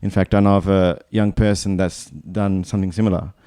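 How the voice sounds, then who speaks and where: A man's voice: deep voice, fairly even intonation, a little fast, dark tone, clean tone, no room sound. A man in his thirties from Bangladesh, a podcast guest talking casually in a thoughtful, meandering way that often circles back and corrects itself. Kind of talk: casual conversation.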